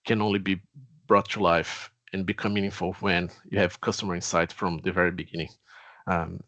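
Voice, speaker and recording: A Brazilian man in his forties with a Portuguese accent, introducing himself in an earnest, measured way. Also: low voice, fairly bright tone, no echo. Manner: earnest, measured, with pauses and fillers. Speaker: CTO. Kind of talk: self-introduction.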